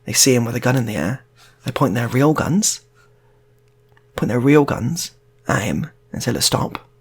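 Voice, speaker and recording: A British man telling an improvised comic story in a casual, rambling, halting way, often repeating phrases. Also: mid-range voice, lively intonation, husky.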